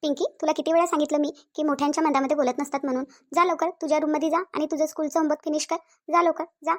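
A woman plays an indignant city wife and mother with a high voice and a Marathi accent of Vidarbha. This cartoon figure is bossy and stubborn, and she argues by firing repeated questions. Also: flat delivery, rapid-fire, natural voice. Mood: scolding. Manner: insistent, argumentative, repeats questions. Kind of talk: cartoon dialogue.

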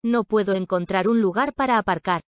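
A woman from Spain reading short practice sentences aloud for a language lesson, clear and measured. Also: mid-range voice, no room sound.

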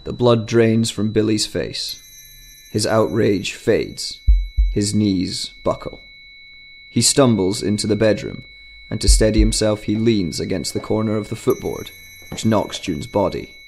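A man's voice: on the low side, measured pace, gravelly, darkish tone, low-quality recording. A man reading a scripted short story as a dramatic narrator. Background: music.

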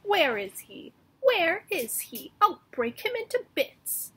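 A woman's voice: extremely high pitch, very animated, slow, sharp tone, pitched above the performer's own voice, heavily acted. A woman voicing a boastful, threatening little male mouse in a children's storybook, with bragging, emphatic delivery. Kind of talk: children's storybook read-aloud.

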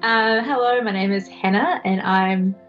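A woman's voice: medium pitch, measured pace, soft background music. Young New Zealand woman, muffled audio.

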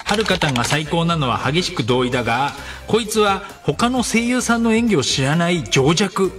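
Mocking online troll, played by a man, highish and varied in pitch, radio comedy. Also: animated, normal speed, a bit bright, far from the mic. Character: snide, mocking internet troll reading out posts.

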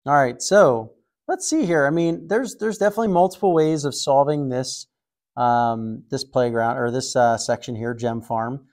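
A man's voice: mid-range voice, lively intonation, moderate pace, darkish tone. Casual man thinking aloud, coding tutorial. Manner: thinks aloud, frequent fillers and restarts. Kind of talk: casual screen-recorded walkthrough.

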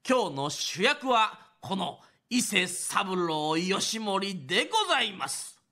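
A man's voice: sits high, big pitch swings, slow, pushed and bright. A man narrating a radio drama as a traditional Japanese storyteller, in a theatrical scripted kodan style.

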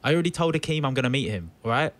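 An actor playing a brisk, businesslike young man in a naturalistic audio drama, casual and practical. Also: medium pitch, expressive, fairly bright tone, close to an everyday voice. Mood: brisk, insistent. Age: young adult.

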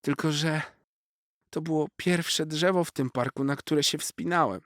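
A man's voice: a little high, a little slow, fairly bright tone, no room sound, clean studio sound, voice pushed up. A man voicing a very young male cat from a children's story, proud, boastful and easily offended. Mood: humbled, sheepish. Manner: boastful, indignant.

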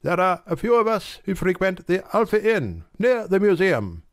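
A man playing a pompous, wordy, courteous gentleman in a dramatised audiobook. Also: high voice, very animated, moderate pace, no echo, studio quality. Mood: solemn, courteous. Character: pompous, grateful middle-aged gentleman, Mr Baker.

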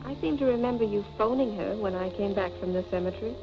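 A woman's voice: mid-range voice, quick pace, gravelly, natural voice. An actress in her thirties playing a wry, wary society wife with a dry, poised manner in a 1940s Hollywood film. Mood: dry, weary. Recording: muffled vintage film soundtrack with music.

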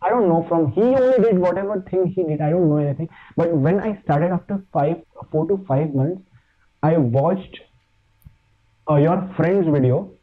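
A man from India with an Indian accent, Hindi his first language, talking as a podcast guest in a halting way with many fillers and restarts. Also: a little high. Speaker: podcast guest, fitness YouTuber. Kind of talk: casual chat. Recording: tinny phone-like line.

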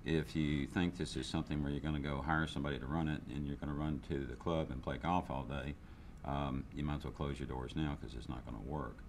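A man's voice: very deep voice, steady tone, fairly quick, hardly any pauses, a little hiss or hum. An older man, a business owner, speaking candidly in a seated interview, with frequent restarts and fillers. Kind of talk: interview testimonial. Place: indoor room, seated interview. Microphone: lapel.

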